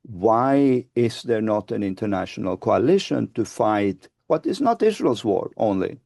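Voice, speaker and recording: A Finnish man in his sixties with a Finnish accent, answering interview questions in a measured, hesitant way, seated in a studio on a lapel mic. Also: lowish voice, very animated, gravelly, dark tone, no echo. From Finland. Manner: measured, hesitant restarts, gestures with both hands. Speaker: guest, advocacy group founder. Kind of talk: webinar interview. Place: studio with dark backdrop, seated.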